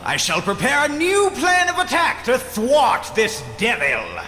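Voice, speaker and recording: A man's voice: very high-pitched, wildly swooping pitch, a little slow, pushed and bright, reverberant, long reverb tail, soft background music, exaggerated voice. A man playing a bumbling, bombastic heroic commander in a video game, declaiming pompously. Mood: flustered, determined. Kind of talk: video game character acting.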